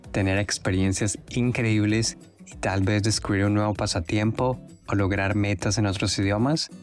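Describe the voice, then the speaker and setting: A man hosting a podcast, speaking Spanish as his native language, talking to listeners in an earnest, encouraging and personal way. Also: lowish voice. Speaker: podcast host. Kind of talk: casual podcast monologue.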